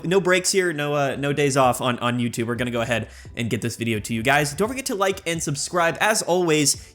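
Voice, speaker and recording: A young man with a cold hosting his channel, giving quick, enthusiastic tier-list commentary on a card game with plenty of fillers. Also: a little high, fairly bright tone. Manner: rapid, enthusiastic, many fillers. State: sick, sniffly. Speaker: channel host.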